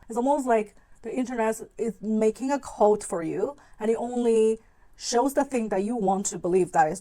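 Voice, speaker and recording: High-voiced woman, non-native English speaker, vlogging. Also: darkish tone, poor audio. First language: not English. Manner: informal, conversational, acts out own thoughts. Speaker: video channel host. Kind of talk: talking-to-camera video essay.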